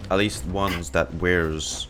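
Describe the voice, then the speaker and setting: Low-voiced man streaming a game. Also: steady tone, slow. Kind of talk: casual playthrough commentary. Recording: game music playing behind the voice.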